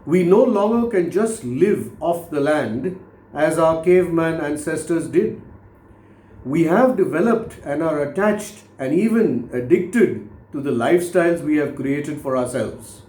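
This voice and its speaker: A man in his fifties reading his own book aloud as scripted motivational narration, with measured, deliberate, oratorical phrasing. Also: a little high, animated, warm tone. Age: 50s. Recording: roomy, echoing voice recording.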